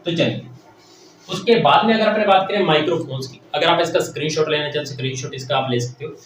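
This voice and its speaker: A man's voice: fairly high pitch, fast, fairly bright tone, soft background music. Guy teaching tech class, really echoey room, bit far from mic, explains step by step and repeats stuff. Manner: repeats phrases, asks and answers own questions. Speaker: technical teacher. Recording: very echoey room, distant mic, faint noise.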